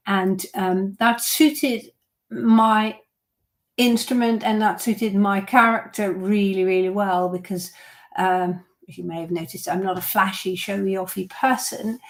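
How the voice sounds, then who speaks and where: A woman's voice: mid-range voice, fairly even intonation, unhurried. Middle-aged woman violin teacher from the Netherlands; chatty live-stream Q&A. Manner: chatty, answers viewer questions with fillers and restarts.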